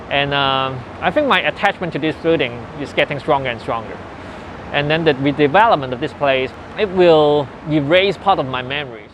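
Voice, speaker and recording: A man in his forties speaks in earnest, unhurried English with a Hong Kong accent. His voice sits a little high for a man, and his grammar runs loose as he reminisces. Faint street noise hums in the background. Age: 40s. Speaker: interviewee. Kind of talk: personal reminiscence in interview. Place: old building where his family lived and worked.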